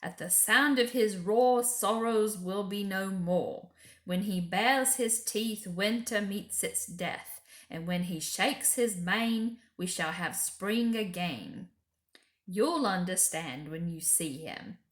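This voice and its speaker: A woman's voice: mid-range voice, takes it slowly, natural voice. Woman playing an emphatic, folksy old-fashioned male beaver, roomy. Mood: assured. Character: gruff, earnest, reverent adult male talking beaver. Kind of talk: children's storybook character voice.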